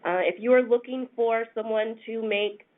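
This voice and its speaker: A congested woman, a senior attorney, explaining a program in a webinar in a measured way with frequent pauses. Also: fairly high pitch. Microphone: phone conference line. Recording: tinny phone line.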